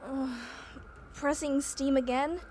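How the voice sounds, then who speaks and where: A woman's voice: sits high, fairly even intonation, slow pace, heavy background noise. A woman acting a naive, breezy young influencer-type reporter in a radio play, with chatty phrasing. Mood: dazed, pained.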